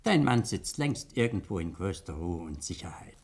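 A woman's voice: extremely low pitch, big pitch swings, normal speed, a little mellow, breathy edge, full character voice. A woman playing a caring older relative in a German radio play, soothing and motherly.

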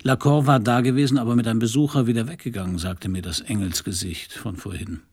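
An actor playing a brooding, hard-drinking detective with a gravelly voice and a dry, sardonic manner in a noir radio drama. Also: male voice, medium pitch, steady tone, fast talker, a little mellow.

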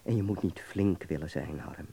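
An actor playing a worldly, affectionate young medical student with a fervent, teasing, philosophizing manner in a Dutch radio play. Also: male voice, deep voice, moderate pace, vocal fry, dark tone, husky, exaggerated voice. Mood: earnest, marvelling. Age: young adult.

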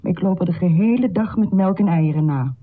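An actress as an anxious middle-aged mother in a period radio drama. Her voice is lowish and very dark, with a husky edge. She is wordy and formally polite, fussing as she talks. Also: a little fast, close to an everyday voice, low-quality recording. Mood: anxious, pleading. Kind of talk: radio play acting.

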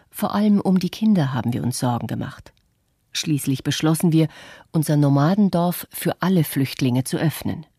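A woman voicing an adult artist who recounts memories in a measured, earnest way, as scripted radio documentary narration. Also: sits low, dark tone, no echo, clean studio sound.

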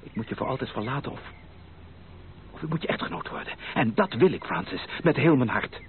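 An actor playing an ardent, determined gentleman suitor, emphatic and romantic, in a Dutch radio play. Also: medium pitch, expressive, a little fast, close to an everyday voice. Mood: fervent, intimate. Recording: narrow, noisy old radio play recording.

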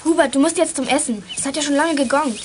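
A woman's voice: high-pitched, fast, a little hiss or hum, close to an everyday voice. A female performer playing a sensible, caring schoolgirl detective in a radio play, earnest and reassuring.

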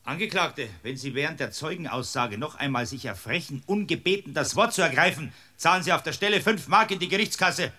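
A man's voice: a little high, expressive, brisk, few pauses, bright, forceful tone. A man playing an exasperated, stern and officious judge in a comic courtroom radio drama. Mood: indignant. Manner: stern, officious, easily flustered. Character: exasperated, blustering rural Bavarian judge.

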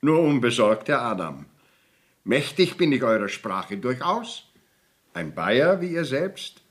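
An actor playing a stern, impatient court councillor in a radio play, speaking educated in a formal, pompous way. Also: male voice, medium pitch, big pitch swings, slow pace, slightly rough, exaggerated voice. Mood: dignified. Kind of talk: 1970s radio play acting.

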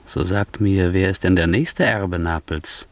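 A man's voice: sits low, a little slow, no room sound. An actor playing a scheming, ambitious nobleman in a German radio verse drama, speaking in a theatrical, insinuating, rhetorical manner. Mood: mocking, insinuating. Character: scheming ambitious nobleman, smooth-tongued, ruthless tempter.

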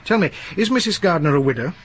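An actor playing a polite, measured police detective in a radio drama, asking careful questions. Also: male voice, fairly high pitch, lively intonation, fairly bright tone, faint music behind. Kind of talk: 1980s BBC radio drama acting.

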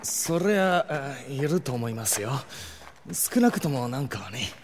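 A man playing a cheeky, jittery undead underling in an audio drama, with slangy, flippant, excitable speech. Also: medium pitch, measured pace, big-room sound. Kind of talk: Japanese audio drama acting.

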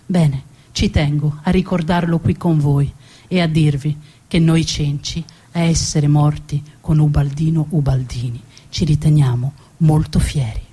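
A woman performs a proud, defiant noblewoman in a theatrical storytelling monologue. The voice is mellow and husky, sitting on the low side. Delivery is deliberate and dramatic, with words repeated for emphasis. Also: steady tone. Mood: solemn, proud. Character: proud, defiant executed noblewoman recounting her own beheading.

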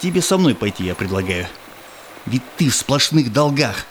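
Man acting a menacing Cossack bully, animated delivery. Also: medium pitch, normal speed, a little hiss or hum, lightly acted. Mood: sly, scornful. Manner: threatening, scheming, speaks in rhymed verse. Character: greedy, menacing Cossack tax collector, cynical bully. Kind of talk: theatrical audio drama acting.

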